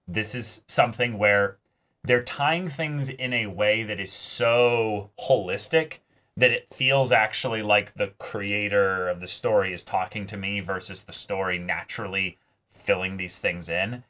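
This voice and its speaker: A man's voice: lowish voice, measured pace, bright, forceful tone. A man in a casual discussion as a reaction show host, reflective and hesitant as he searches for words. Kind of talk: casual discussion of an anime episode.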